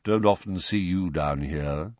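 A mournful, sepulchral wizard , voiced by a male narrator, pitched down low. He talks gloomily and sparely in a dry, slightly dull storybook recording. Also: unhurried, a little mellow, dry recording. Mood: gloomy.

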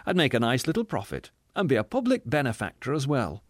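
A man voicing a shrewd, furtive schemer in a storybook, muttering and confiding in a low, anxious way. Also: wildly swooping pitch, fast, no echo, studio quality. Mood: confiding, scheming.

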